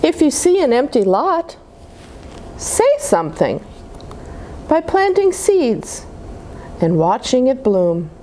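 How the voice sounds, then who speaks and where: A woman reads aloud for young children with a highly animated delivery. Her voice sits a little high and moves at an unhurried pace, explaining things simply as she goes. There is some background noise. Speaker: children's storybook reader at a church.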